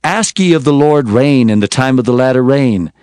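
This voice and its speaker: An actor playing a fervent biblical prophet, declaiming solemn oracles in a dramatized scripture reading. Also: male voice, medium pitch, animated, normal speed, darkish tone, smooth, no room sound, studio quality, lightly acted. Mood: solemn, proclaiming. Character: fervent male Old Testament prophet proclaiming oracles. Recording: music behind the voice.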